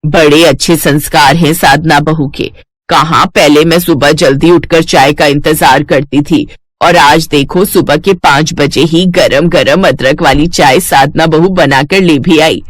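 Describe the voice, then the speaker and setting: A woman playing a kind older mother-in-law who speaks gently and gives household instructions. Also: low-pitched, fairly even intonation, a little slow, no room sound. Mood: approving.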